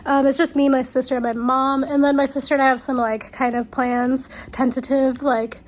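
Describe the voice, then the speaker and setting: A woman's voice: high-pitched, flat delivery, normal speed. A young woman with a congested, stuffy voice, chatting casually as a travel vlogger, rambling and correcting herself as she goes. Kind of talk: casual vlog narration. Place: hotel and casino in Las Vegas.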